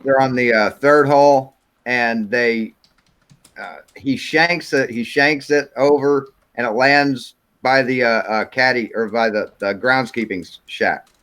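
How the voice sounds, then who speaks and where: A man's voice: medium pitch, a little slow, fairly bright tone. A man in a casual chat telling a long joke, rambling with plenty of fillers. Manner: rambling, filler-heavy storytelling.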